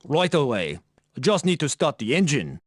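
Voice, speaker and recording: A man's voice: mid-range voice, huge pitch swings, moderate pace. A man playing a deferential, obedient soldier in a video game, with noisy audio. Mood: dutiful.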